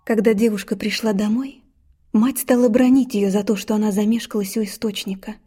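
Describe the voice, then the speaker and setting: Husky-voiced woman reading a fairy tale. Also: medium pitch, steady tone, a little fast, dark tone. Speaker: narrator. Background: faint music.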